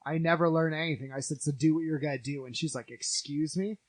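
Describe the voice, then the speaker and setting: Higher-voiced man with wry, self-mocking asides. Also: steady tone, fast talker, dry recording. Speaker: podcast co-host. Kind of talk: casual chat.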